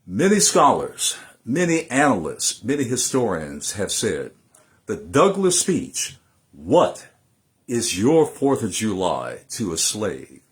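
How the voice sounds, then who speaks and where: An American man delivering an emphatic, theatrical oration, phrasing deliberately with dramatic pauses. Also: on the low side, wildly swooping pitch. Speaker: political analyst giving a dramatic speech reading. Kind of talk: oratory and dramatic reading of a historic speech.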